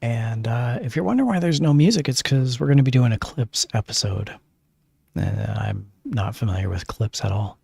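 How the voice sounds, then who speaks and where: A man's voice: medium pitch, lively intonation, normal speed, gravelly, a little mellow, breathy edge, no echo. An older man, chatting casually on his livestream in a gushing way, with lots of fillers. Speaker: streamer.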